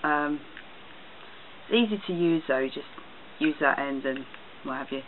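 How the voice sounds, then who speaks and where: A man giving a casual, halting show-and-tell product review for a vlog. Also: on the high side. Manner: hesitant, halting delivery. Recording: tinny phone-like sound, loud noise, clicks.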